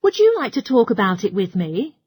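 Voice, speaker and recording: A woman's voice: mid-range voice, big pitch swings, brisk, a little mellow, husky, dry recording. A woman playing a helpful, studious geography student in a scripted listening-test dialogue. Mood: helpful, reassuring.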